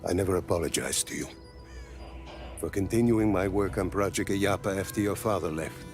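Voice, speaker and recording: A man's voice: sits low, fairly quick, over music. An actor playing an earnest older male scientist and mentor in a video game cutscene. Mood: warm, regretful.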